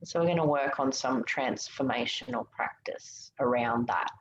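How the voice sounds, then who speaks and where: A woman leading a guided meditation in a soothing, gentle way, giving unhurried instructions with pauses. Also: very deep voice. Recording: muffled, roomy, noticeable background hiss.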